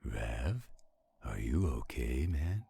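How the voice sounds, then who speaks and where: A man playing an easygoing, concerned male friend in a roleplay audio drama, with a very deep, dark, raspy voice and a casual, laid-back manner. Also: sing-song, takes it slowly, no echo, exaggerated voice. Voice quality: very deep, dark, rough gravelly rasp.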